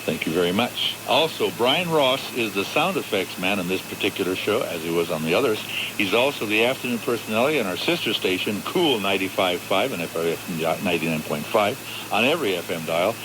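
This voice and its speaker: Low-voiced older radio host, very noisy recording. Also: male voice, animated, fairly quick. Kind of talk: live radio show introduction and chat. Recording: very noisy live radio broadcast.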